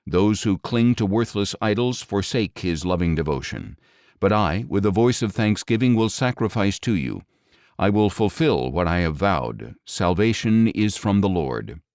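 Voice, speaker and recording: A man's voice: sits low, lively intonation, unhurried, a little mellow, no room sound, studio quality. A man voicing a grave, resigned, devout prophet, solemn and earnest, in a scripture audiobook. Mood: solemn, prayerful. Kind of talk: dramatic audiobook scripture reading.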